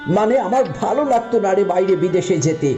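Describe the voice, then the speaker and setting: A man's voice: high voice, lively intonation, a little fast, warm tone, lo-fi sound. A man voicing a rich young male friend in a cartoon who teases and then turns wistful. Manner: teasing. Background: background music.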